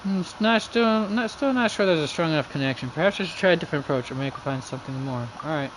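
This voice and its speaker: Casual game streamer of mid pitch, musing aloud between lines of read-out text, clear background noise. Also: animated, very fast. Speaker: game livestreamer.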